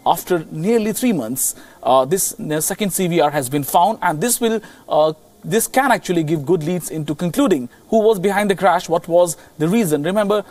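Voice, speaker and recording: A man with an Indian accent giving a live, unscripted news report as a correspondent, halting and rephrasing as he goes. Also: sits high, animated, a little mellow, distant mic.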